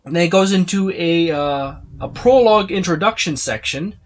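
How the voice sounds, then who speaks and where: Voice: medium pitch, expressive, moderate pace. A video host giving a casual, unscripted overview in a rambling, explanatory way with informal asides. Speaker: host of tabletop gaming video channel.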